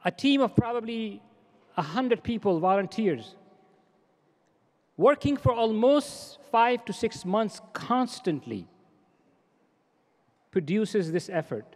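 A man's voice: sits high, sing-song, slow, long pauses, darkish tone. Middle-aged man exhorting from a hall podium. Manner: earnest, exhorting, with repeated phrases. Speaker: organization president giving a welcome address. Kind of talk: formal convention welcome speech. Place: large convention hall stage. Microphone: podium microphones. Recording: long reverb of a large hall.

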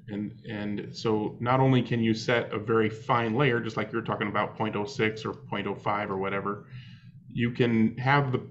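Brief: male host, measured explainer, muffled call audio with slight hiss. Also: lowish voice. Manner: explanatory, measured, laughs easily. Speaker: host of a hobby video chat. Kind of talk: casual video call chat. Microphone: video call.

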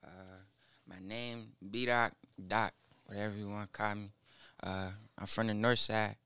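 Mumbling young man with a gravelly voice. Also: fairly low pitch, fairly even intonation, bright, forceful tone, no room sound. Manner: halting, mumbled, full of fillers. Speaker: rapper guest. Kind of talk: casual interview. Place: small studio with foam wall. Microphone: studio mic on boom arm, headphones.

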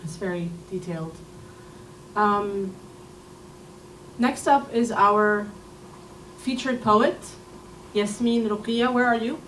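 A woman's voice: medium pitch, takes it slowly, long pauses, fairly bright tone, slight background noise. A woman hosting an open mic at a community arts center, speaking into a podium mic in a chatty, encouraging way as she introduces the featured poet. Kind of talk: event emcee introduction. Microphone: podium mic on a stand. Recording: echoey room, mic heard from a distance.

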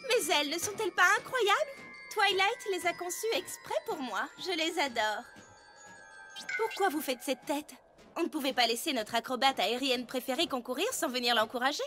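A woman's voice: high-pitched, expressive, normal speed, pushed and bright, faint music behind. A woman voicing a vain, refined young female unicorn pony in a cartoon dub, theatrical and gushing. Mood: showing off. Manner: theatrical, showy.

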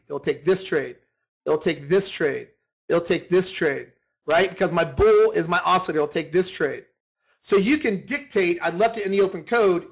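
Guy with a pretty high voice walking people thru trading software, informal, emphatic, repeats stuff and checks they follow. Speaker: trading instructor leading a conference call. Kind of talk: informal software tutorial with screen share.

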